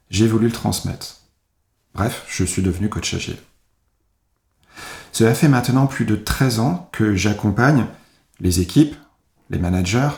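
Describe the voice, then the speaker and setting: Low-voiced man giving a measured talk. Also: a little mellow, echoey room. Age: 40s. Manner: measured, reflective storytelling. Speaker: conference speaker, agile coach. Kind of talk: conference talk. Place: home office, video call.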